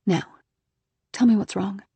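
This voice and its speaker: Woman playing a caring, husky-voiced motherly woman. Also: medium pitch, steady tone, a little slow, mellow, heavily acted. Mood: reassuring. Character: caring, reassuring woman host, motherly toward guest.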